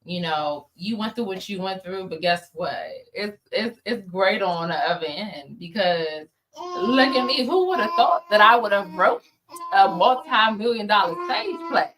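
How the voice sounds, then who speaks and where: A woman's voice: medium pitch, animated, a little slow, music in the background. An American woman talking casually as an interview guest, informal and emphatic, repeating words for emphasis. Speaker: interview guest, playwright and producer.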